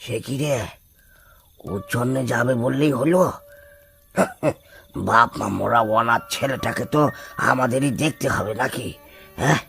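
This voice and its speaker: A man playing a frail, grumbling but caring old village grandfather in a cartoon story, with halting, questioning speech and a creaky voice. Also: mid-range voice, bright, forceful tone, soft background music. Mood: protective, insistent. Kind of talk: Bengali cartoon story acting.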